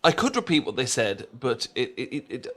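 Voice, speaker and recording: Man acting as tea-obsessed British boyfriend, mid-pitched, flustered, halting and stammering, rambling chat, intimate audio roleplay. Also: huge pitch swings, a bit bright. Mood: sheepish, hesitant. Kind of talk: ASMR boyfriend roleplay, casual chat to the listener.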